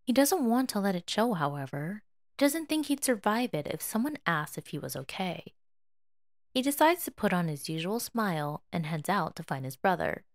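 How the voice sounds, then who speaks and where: A woman narrates a story in an expressive, dramatic way. Her voice sits a little low for a woman and moves through a wide, animated range. The recording is close and dry. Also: moderate pace, no room sound. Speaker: fan fiction audiobook reader.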